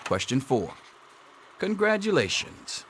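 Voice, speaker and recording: An actor playing a dry, wry schoolteacher in a video game, sardonic and deadpan as he runs an exam. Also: medium pitch, slight background noise. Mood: dry, official. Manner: deadpan, sardonic, drawn-out emphasis.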